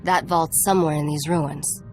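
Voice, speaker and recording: A woman's voice: deep voice, fairly even intonation, normal speed, darkish tone, soft background music, close to an everyday voice. An actress playing a tough, haughty woman smuggler in a video game, dry, sarcastic and self-assured.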